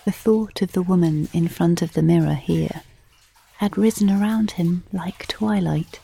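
A woman giving an intimate scripted literary narration in a hushed, measured manner, often close to a whisper. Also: on the low side, gravelly, breathy edge, low-quality recording. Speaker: narrator and performer of an audio playlet.

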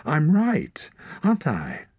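A cornered, defiant boy, pitched high and loud, pressing his case in breathless bursts, as a male narrator puts him on. The voice is husky and dry, close to the mic. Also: sing-song, takes it slowly, dry recording, heavily acted. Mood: desperate. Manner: pleading, insistent, breathless under threat. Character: wild young boy of the forest, defiant and desperate.

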